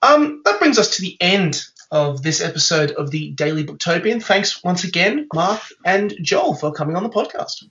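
A man's voice: high voice, big pitch swings, normal speed, hardly any pauses, fairly bright tone, highs cut off. A man hosting a podcast, upbeat and enthusiastic with frequent fillers. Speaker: podcast host. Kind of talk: casual podcast chat.

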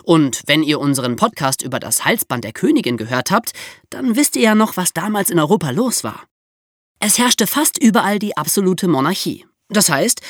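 A history podcast co-host speaks with very animated, swinging intonation. The delivery is conversational and explanatory, with playfully ironic emphasis. The sound is dry and free of room echo. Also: medium pitch.

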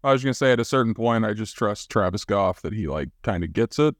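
A man's voice: on the low side, expressive, fast, no room sound, clean studio sound. A man co-hosting a sports show, talking in a casual, conversational way. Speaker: sports podcast co-host.

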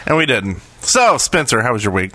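A man's voice: medium pitch, big pitch swings, brisk, noisy background. A man co-hosting a podcast with casual, playful, joking banter. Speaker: podcast co-host.

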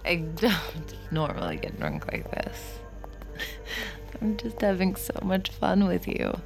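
A smitten, tipsy woman on a first date in an intimate audio drama. She speaks slowly and slightly low, with a creaky, breathy edge, flirty yet nervous. Music plays clearly behind her. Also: huge pitch swings, exaggerated voice. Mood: tipsy, giggly.

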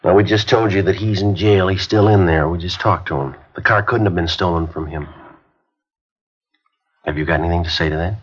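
An actor playing a methodical police detective in a radio crime drama, questioning in clipped, flat sentences and narrating the case. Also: male voice, sits low, brisk, breathy edge. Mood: terse. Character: curt, methodical male police sergeant. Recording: dull lo-fi 1950s radio recording.

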